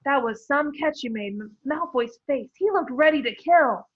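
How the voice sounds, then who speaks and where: A woman's voice: high voice, expressive, moderate pace, muffled recording, pitched above the performer's own voice. A woman voicing a cheeky, chatty teenage schoolboy.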